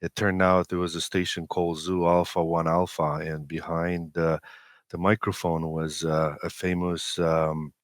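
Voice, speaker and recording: A man in his forties with a Romanian accent, a native Romanian speaker, telling personal stories as an interview guest in a halting, hesitant way with many pauses. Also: sits low, flat delivery, no room sound, clean studio sound. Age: 40s. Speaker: interview guest, amateur radio operator.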